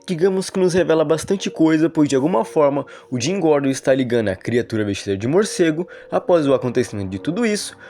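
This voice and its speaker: Brazilian guy doing explainer narration, kinda high voice, really animated pitch, wordy. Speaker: video narrator and host. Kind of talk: explanatory narration of a horror video analysis.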